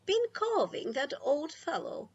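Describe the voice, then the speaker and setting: A woman voicing a brisk, wry, folksy older grandmother in a storybook. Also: high-pitched, sing-song, lo-fi sound, voice pushed up. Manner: brisk, bossy, folksy commands. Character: brisk, wry country grandma, self-styled river rat.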